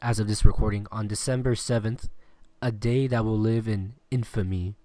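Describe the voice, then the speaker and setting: A man's voice: fairly low pitch, fairly even intonation, moderate pace, warm tone, dry recording. A man hosting a casual sports podcast, rambling and laughing often, with a stuffy nose and a lingering cough. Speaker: podcast host. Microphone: remote call recording.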